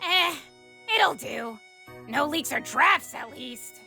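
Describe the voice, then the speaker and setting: A woman's voice: high voice, lively intonation, takes it slowly, vocal fry, pushed and bright, faint music behind, exaggerated voice. A woman voicing a brash, cocky young female cartoon troublemaker with a mocking, swaggering manner, in a comic dub. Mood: dismissive, cocky. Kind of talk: animated comic dub acting.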